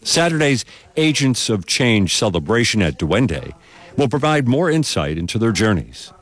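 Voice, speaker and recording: A man's voice: fairly low pitch, big pitch swings, moderate pace. A man working as a local radio news host and reporter, reading announcements and reports. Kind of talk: live traffic notes and scripted radio reporting.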